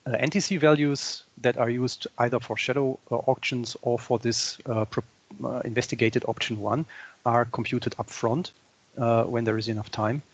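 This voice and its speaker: A man's voice: mid-range voice, steady tone, moderate pace. A man on a conference call, giving a matter-of-fact technical answer. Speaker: technical expert.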